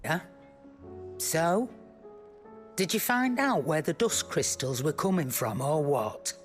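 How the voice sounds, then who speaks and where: A woman's voice: sits low, big pitch swings, slow pace, faint music behind, heavily acted. A woman playing a blunt, impatient, salty character in a fantasy game cutscene, brusque and sardonic. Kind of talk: video game cutscene acting.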